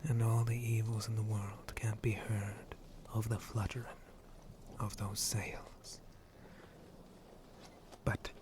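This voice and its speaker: A man playing a flirty, roguish shark-monster pirate in an ASMR roleplay, breathy and often whispering, with a teasing, rustic pirate way of talking. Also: fairly low pitch. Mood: eerie, hushed. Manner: rustic pirate dialect, hesitant drawl, teasing.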